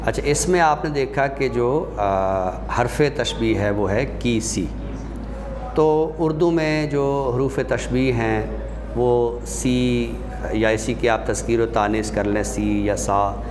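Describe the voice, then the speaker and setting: A man giving an explanatory classroom lecture, deliberate and pausing to find his words. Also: medium pitch. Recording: long hall reverb, faint background noise.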